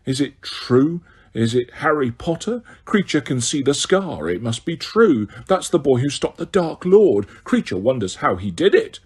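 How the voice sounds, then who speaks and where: A man voicing a spiteful old male house elf who mutters in a hoarse, deep, croaking voice. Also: big pitch swings, a little slow. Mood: grudging. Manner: muttering under the breath.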